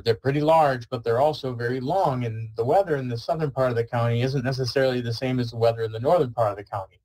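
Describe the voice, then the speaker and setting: A man's voice: medium pitch, darkish tone, poor audio. A man giving an informal webinar presentation, explaining things in a conversational way as a weather service presenter.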